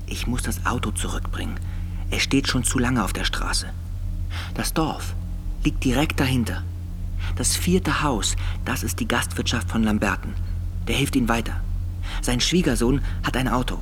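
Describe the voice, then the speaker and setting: An actor playing a secretive, hurried driver helping a fugitive in a radio drama, speaking in hushed, terse phrases. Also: male voice, mid-range voice, soft background music, natural voice. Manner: hushed, terse, half-whispered.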